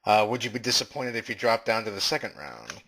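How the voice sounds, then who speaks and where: Bright-voiced man asking formal questions. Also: fairly low pitch, big pitch swings, quick pace, rough recording. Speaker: mock interviewer. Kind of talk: improvised mock interview.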